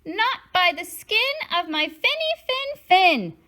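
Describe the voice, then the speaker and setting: A woman voicing a tiny, frightened little boy fish in a storybook, with a squeaky, trembling voice. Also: very animated, slow, bright, forceful tone, exaggerated voice.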